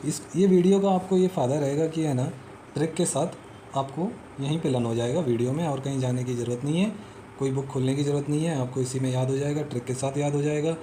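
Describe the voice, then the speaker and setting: A man's voice: on the high side, fairly even intonation, fast talker, mellow, slight background noise. A man teaching exam memory tricks in a casual, repetitive explanatory style, often checking in with the listener. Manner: repetitive, peppers talk with "theek hai" and "hai na"; storytelling, explains step by step and repeats.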